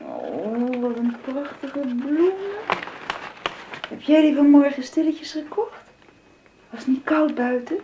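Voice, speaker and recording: A gentle young woman. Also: high voice, very animated, slow pace, long pauses, slight background noise, full character voice. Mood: delighted. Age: 20s. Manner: gentle, reassuring. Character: kind young woman of twenty-two, gentle. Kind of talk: radio drama acting. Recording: dull lo-fi old radio recording.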